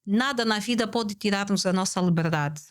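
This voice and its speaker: A woman guest speaks in a reflective, measured way, with a voice of ordinary pitch for a woman. She stretches some phrases slowly, poses rhetorical questions and repeats her main points for emphasis. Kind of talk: reflective podcast conversation.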